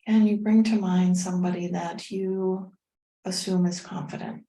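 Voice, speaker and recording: A woman leading a guided meditation as a teacher, speaking in a gentle, unhurried, soothing way with pauses. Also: medium pitch. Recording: roomy, echoing sound.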